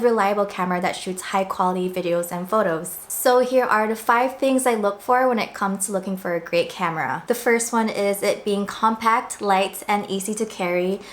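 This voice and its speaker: A woman in her late twenties chats to her viewers in a relaxed, upbeat manner, explaining things step by step. Her voice sits at a middle pitch and sounds slightly husky from a swollen throat. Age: late 20s. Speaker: vlogger and blogger. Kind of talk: casual talk to camera. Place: indoors at home.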